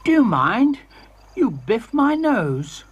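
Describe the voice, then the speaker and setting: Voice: mid-range voice, sing-song, breathy edge, muffled recording, heavily acted. A storyteller voicing an eager, bossy young male dinosaur in a children's story. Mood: indignant. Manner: eager, bossy, quick to panic.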